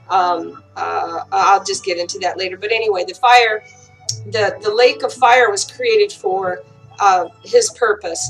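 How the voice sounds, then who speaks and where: A woman with a dry voice giving an informal online Bible teaching, halting often with fillers and restarts. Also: medium pitch, a bit bright, low-quality recording. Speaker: online preacher.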